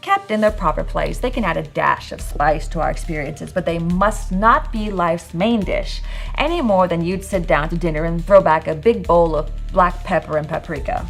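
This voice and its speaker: A woman with a fairly low voice narrates a scripted podcast monologue. Her delivery is theatrical and sardonic, leaning hard on key words for emphasis. Soft music plays behind her. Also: moderate pace, gravelly. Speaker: podcast host. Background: music bed.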